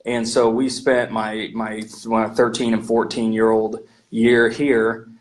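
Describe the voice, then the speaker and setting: A man's voice: on the low side, monotone, slow pace. Earnest American man, missionary guest. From United States. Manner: earnest, reflective, with hesitations. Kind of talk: interview over video call. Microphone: video call.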